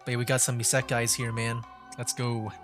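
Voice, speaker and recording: A man's voice: mid-range voice, level tone, brisk, a bit bright, soft background music. A man giving casual live gameplay commentary as a streamer, rambling and feeling for his words. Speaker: video game streamer.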